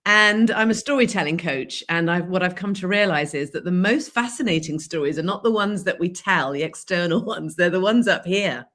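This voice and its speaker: Lively English woman on a video call. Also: lowish voice, normal speed, few pauses. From England. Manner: lively, laughs easily. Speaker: guest, leadership coach. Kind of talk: conversational interview.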